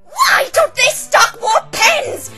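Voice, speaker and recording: A woman's voice: very high-pitched, sing-song, takes it slowly, music in the background, heavily acted. A woman playing a refined, vain, easily outraged lady unicorn pony, with an affected, theatrical manner, in a fan dub. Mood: indignant. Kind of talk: fan-dub visual novel character acting.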